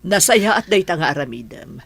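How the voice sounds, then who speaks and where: Woman acting a low, husky older mother. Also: very animated, fairly quick, no room sound, heavily acted. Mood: pleading, blunt. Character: older mother figure, consoling yet bluntly realistic. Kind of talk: audio drama acting.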